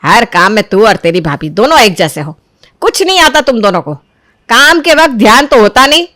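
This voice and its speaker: A woman's voice: fairly high pitch, sing-song, normal speed, bright, forceful tone, dry recording, studio quality. A woman voicing a domineering, melodramatic middle-aged mother-in-law in a cartoon story, nagging and accusing. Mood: furious. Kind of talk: cartoon moral-story voice acting.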